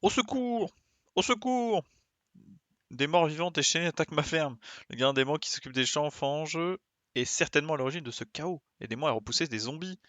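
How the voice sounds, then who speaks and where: Man voicing a high, panicked farmer pleading for help. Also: expressive, moderate pace, a bit bright, dry recording. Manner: urgent, exclaiming. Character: panicked farmer crying out for rescue from zombies. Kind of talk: acted reading of video game quest dialogue.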